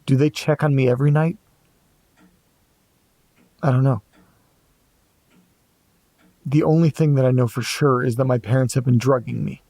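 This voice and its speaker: A man playing an anxious amateur investigator in a horror audio drama, muttering hesitantly to himself in a gravelly voice. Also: mid-range voice, level tone, normal speed, long pauses, mellow, dry recording, natural voice. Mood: uneasy, hushed. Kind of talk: audio drama monologue, reading and musing aloud.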